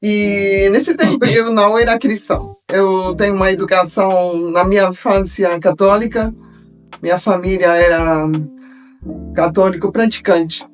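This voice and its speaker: An older woman from Belgium speaking with a foreign accent as an interview guest, telling her story haltingly in a learned language. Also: medium pitch, steady tone, soft background music. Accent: foreign accent in Portuguese, from Belgium. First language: a language of Belgium, not Portuguese. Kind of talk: personal storytelling in a podcast interview.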